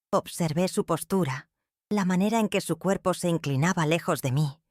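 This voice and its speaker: A woman reading a first-person dramatic story in a measured, somber way. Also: low voice, dry recording, clean studio sound.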